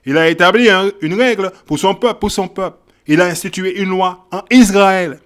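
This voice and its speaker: Male preacher, high voice, emphatic and repetitive, swings from quiet verse reading to loud exhortation. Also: moderate pace. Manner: emphatic, repeats phrases, checks in with "ok?" and "hein?". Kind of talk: Bible teaching sermon.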